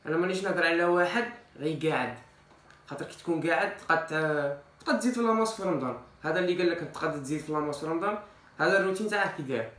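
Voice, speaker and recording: A man's voice: on the high side, very fast, a bit bright, reverberant. A man in his twenties with an Algerian Arabic accent gives casual fitness advice to camera, mixing in French loanwords, recorded in a tiled room. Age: 20s. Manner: informal, mixes in French loanwords. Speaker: fitness vlogger. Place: small tiled kitchen, indoors.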